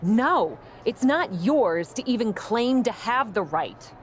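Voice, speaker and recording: A woman's voice: fairly high pitch, big pitch swings, slow, a little hiss or hum. Blunt, skeptical female wrestler. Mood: firm, annoyed. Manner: dry, guarded, indignant when pushed. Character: blunt, skeptical young female pro wrestler, stubbornly proud. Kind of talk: video game cutscene acting.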